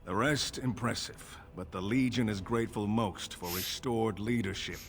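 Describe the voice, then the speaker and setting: A man's voice: fairly low pitch, normal speed, faint music behind, close to an everyday voice. A man playing a stoic, grim veteran dwarf soldier in a fantasy video game, solemn and plain-spoken. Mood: grave, impressed.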